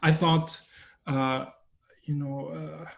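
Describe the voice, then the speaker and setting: A man whose first language is German, an artist speaking thoughtfully in an interview, pausing often with fillers. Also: on the high side, level tone, gravelly. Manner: thoughtful, hesitant with many fillers. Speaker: artist guest. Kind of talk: conversational interview.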